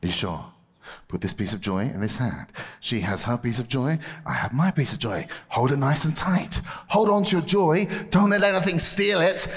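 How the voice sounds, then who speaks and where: A man's voice: mid-range voice, normal speed. A man presenting a playful object lesson to children in church, laughing often and calling out to the room. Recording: echoey hall.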